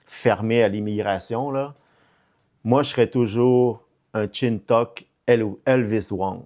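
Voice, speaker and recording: A man's voice: on the low side, slow, darkish tone. A middle-aged man with a Québécois French accent chatting casually on a podcast, hesitant and often repeating words as he searches for the next one. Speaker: podcast co-host or guest.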